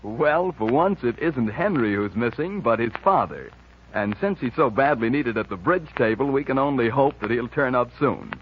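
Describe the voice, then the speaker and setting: A man with an American accent announcing and pitching in polished, persuasive 1940s radio style. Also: expressive, normal speed, a little hiss or hum. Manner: polished, persuasive, smooth phrasing. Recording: muffled vintage 1940s radio broadcast.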